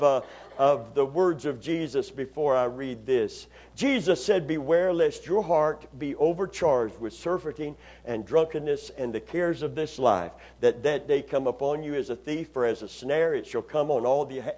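A man's voice: fairly high pitch, darkish tone, lo-fi sound. A man preaching a sermon as a pastor, calling on the congregation for amens and responses. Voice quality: raspy after Sunday preaching. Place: church sanctuary.

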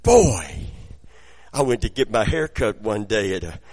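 Raspy preacher with a lively delivery. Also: male voice, fairly low pitch, measured pace. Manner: swings between quiet storytelling and loud exclamations. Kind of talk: sermon with personal anecdotes.

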